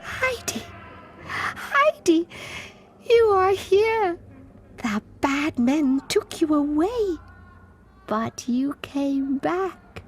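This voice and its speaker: A woman's voice: high voice, sing-song, drawn-out words, exaggerated voice. A woman playing a childlike, disturbed asylum patient in a video game, plaintive and confiding. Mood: overjoyed. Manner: childish, plaintive, drifting into breathy confiding whispers. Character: childlike disturbed female asylum patient devoted to her doll.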